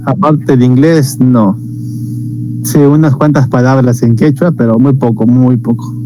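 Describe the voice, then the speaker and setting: A man's voice: mid-range voice, steady tone, a little slow, music in the background, poor audio. A man from Peru, chatting thoughtfully and explaining cultural topics to a stranger on a video call. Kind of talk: casual video chat.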